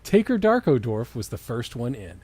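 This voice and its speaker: A man's voice: mid-range voice, sing-song, normal speed, darkish tone, no room sound. A man doing a dramatic comedic reading of fan fiction, breaking into exasperated, mocking asides. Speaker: co-host of a comedy reading show.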